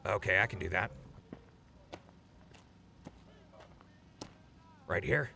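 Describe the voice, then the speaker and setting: A gruff, loyal wastelander from an audio drama a man acting. The voice is low and full of gravel, with a sharp forceful edge. He talks in an awkward, hesitant, apologetic way. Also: fast talker, slight background noise, exaggerated voice. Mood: nervous, hesitant.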